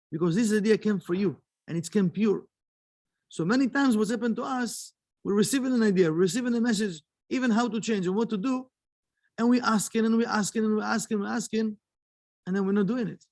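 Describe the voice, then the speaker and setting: A man's voice: high-pitched, a little mellow. A man teaching a kabbalah study lesson, earnest and repeating phrases for emphasis. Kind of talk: early morning study lesson.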